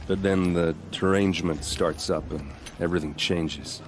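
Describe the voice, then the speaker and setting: A male actor performs a friendly video game warrior with a deep voice. He is plain-spoken and wry, proud of his people and given to folksy boasting. Faint ambient noise sits behind him. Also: fairly even intonation, normal speed, natural voice. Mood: grave, explanatory. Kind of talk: game cutscene dialogue acting.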